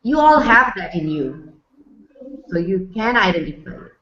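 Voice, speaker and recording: A woman's voice: mid-range voice, sing-song, moderate pace, fairly bright tone. A woman from Bangladesh in her thirties giving a friendly virtual lecture to students, with many fillers. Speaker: guest lecturer. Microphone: video call. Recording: roomy, dull lo-fi video call.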